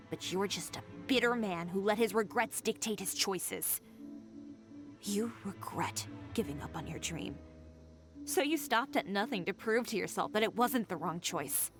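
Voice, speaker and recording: A woman's voice: mid-range voice, expressive, a little slow, music in the background, close to an everyday voice. A woman playing a proud, defiant young fashion designer in an anime game, cutting and assertive in her delivery. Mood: cutting, reproachful.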